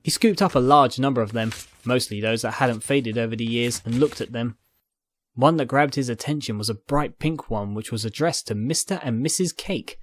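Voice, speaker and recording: A man's voice: medium pitch, moderate pace, no echo. A man reading fiction aloud as narrator, in scripted dramatic storytelling style. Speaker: narrator, fan fiction reader.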